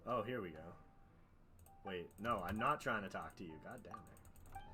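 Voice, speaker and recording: A man's voice: lowish voice, moderate pace, sharp tone. A man giving casual live game commentary, muttering reactions to himself. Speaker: game streamer. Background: game music, keyboard typing.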